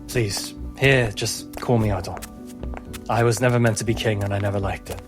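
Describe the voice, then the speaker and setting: A nervous young king, acted by a man at a fantasy game table, polite and eager to please. He speaks in gentle, halting phrases over clearly audible music. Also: fairly low pitch, steady tone, natural voice. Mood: gentle concern. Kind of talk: fantasy roleplay dialogue.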